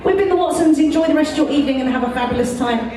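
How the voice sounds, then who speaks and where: A woman's voice: high-pitched, very fast. A woman performer speaking from the stage to her audience, heard over a PA. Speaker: performer in a musical duo. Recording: echoey, distant PA with music.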